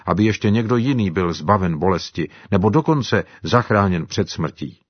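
Jubilant devout villager, played by a man, high and eager in tone, husky and dull-sounding. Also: no room sound. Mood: earnest. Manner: excited, exclaiming. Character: cheerful grateful African man, warmly devout.

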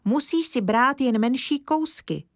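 A woman's voice: high voice, lively intonation, no room sound, pitched above the performer's own voice. A cheeky little village boy played by a woman chatters in a raised, childlike voice. He talks eagerly, reciting his lines like a storybook child, recorded close and dry.

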